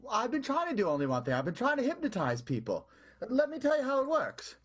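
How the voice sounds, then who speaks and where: Actor playing flaky oddball schemer, husky and animated. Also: medium pitch, fast talker. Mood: earnest, muddled. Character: flaky, self-deprecating oddball schemer in a vaudeville theater. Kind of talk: improvised comedic radio play acting.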